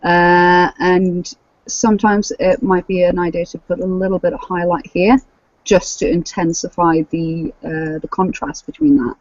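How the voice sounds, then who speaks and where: A woman's voice: lowish voice, measured pace, no echo. A woman co-hosting a casual podcast chat, explaining practical tips. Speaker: cosplayer and podcast co-host. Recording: dull lo-fi dry audio.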